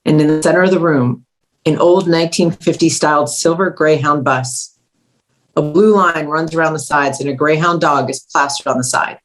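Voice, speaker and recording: A woman's voice: sits low, poor audio. A woman reading scripted stage directions as the narrator of a screenplay table read.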